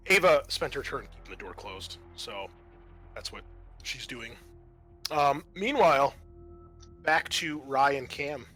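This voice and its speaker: A man's voice: medium pitch, animated, measured pace, long pauses, pushed and bright, faint music behind. A man narrating a tabletop roleplaying game as game master in a hesitant, conversational way.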